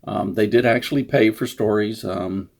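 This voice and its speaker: A man's voice: fairly low pitch, steady tone, moderate pace, vocal fry. A man giving a casual, unscripted introduction as an author, rambling and self-deprecating. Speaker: author introducing his story.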